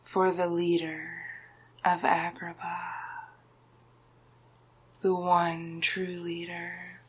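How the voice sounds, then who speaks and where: A woman voicing a scheming, smug male sorcerer villain in an ASMR roleplay, breathy and often whispering, with a condescending, commanding manner. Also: lowish voice, completely flat delivery, full character voice, rough recording. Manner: slow, deliberate, commanding, condescending.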